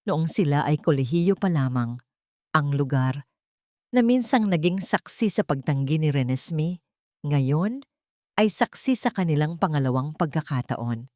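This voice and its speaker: A woman's voice: low-pitched, lively intonation, a little slow, warm tone, dry recording, clean studio sound. A woman reading dramatic scripted narration of a love story.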